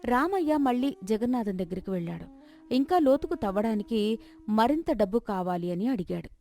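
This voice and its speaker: Slightly high-voiced woman narrating a story. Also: big pitch swings, normal speed, mellow, soft background music. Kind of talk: scripted folk story narration.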